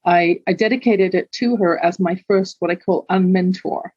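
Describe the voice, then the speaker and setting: A woman's voice: on the low side, moderate pace, darkish tone, no echo, highs cut off. Older London woman, candid and earnest. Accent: London English. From England. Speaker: guest. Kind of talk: candid personal storytelling.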